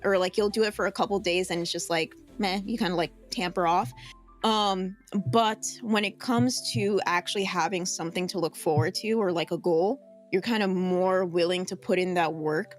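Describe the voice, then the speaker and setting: A woman's voice: fairly low pitch, normal speed, faint music behind. A young adult woman co-hosting a podcast, chatting casually with lots of filler words. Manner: chatty, lots of ums and likes. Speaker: podcast co-host. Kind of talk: casual chat.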